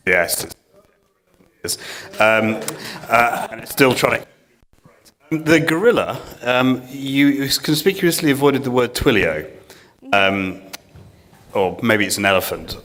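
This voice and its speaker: Echoing conference hall, man, audience questioner, lowish pitch, halting delivery, wry. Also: a bit bright. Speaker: audience member asking questions.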